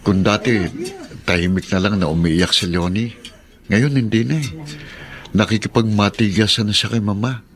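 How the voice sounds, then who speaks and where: A man's voice: lowish voice, steady tone, normal speed, pauses often, natural voice. A man playing a weary, apologetic husband, subdued and troubled, in a radio drama. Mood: dejected. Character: weary, apologetic husband torn between mother and wife. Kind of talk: Tagalog radio drama acting.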